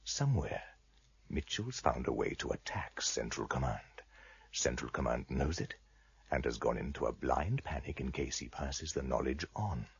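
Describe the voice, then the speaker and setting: An actor playing a shrewd, courtly blind trader in a radio drama, husky and gravelly, speaking in a measured, formal, wry way. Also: male voice, rumbling low, sing-song, highs cut off, full character voice. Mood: grave, knowing.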